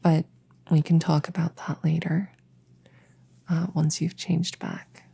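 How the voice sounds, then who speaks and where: A woman playing a gentle, reassuring witch veterinarian in a soft-spoken ASMR roleplay, soothing and a little hesitant as she chats to her patient. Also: sits low, level tone, slow, vocal fry, husky, full character voice. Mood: gentle, explaining. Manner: soothing, hesitant, chatty bedside manner.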